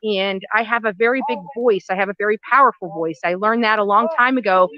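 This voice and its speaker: A woman's voice: medium pitch, fast. A woman in an archive clip, an activist who praises herself earnestly with many "um"s and "you know"s. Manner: earnest, self-praising, filler-heavy. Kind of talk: self-promoting interview clip. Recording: dull lo-fi clip audio.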